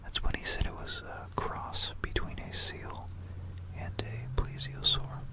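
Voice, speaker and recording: An ASMR narrator speaks entirely in a breathy, unvoiced whisper. The delivery is a hesitant, meandering history talk, with phrases trailing off and repeated. It is captured close on a binaural microphone. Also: unhurried.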